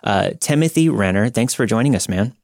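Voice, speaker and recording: Easygoing low-voiced male podcast host. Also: animated, a little fast, gravelly, a little mellow, no room sound, clean studio sound. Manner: easygoing, laughs often, fills with "uh". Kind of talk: casual interview chat.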